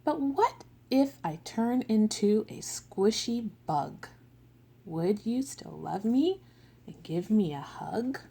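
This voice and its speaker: A woman's voice: on the high side, expressive, slow, creaky, warm tone, slightly rough, heavily acted. A woman reading a small grumpy young child. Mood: wondering.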